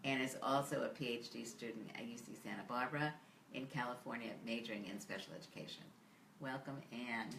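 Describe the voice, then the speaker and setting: A woman's voice: a bass voice, fairly even intonation, brisk, a bit bright, reverberant, slight background noise. A woman hosting an interview in a friendly way, laughing easily. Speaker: host. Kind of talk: interview host.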